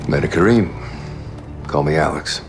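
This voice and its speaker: Male American agent, deep gravelly voice, calm, measured, cinematic game drama, music behind. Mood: guarded, serious. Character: gruff, calm male American CIA operative. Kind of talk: cinematic video game acting.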